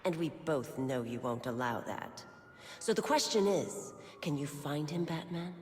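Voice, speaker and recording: An actress playing a calm, cryptic female martial arts master in a video game, setting a challenge. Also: low voice, a little slow, echoey room, in a large hall, slight background noise. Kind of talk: video game cutscene acting.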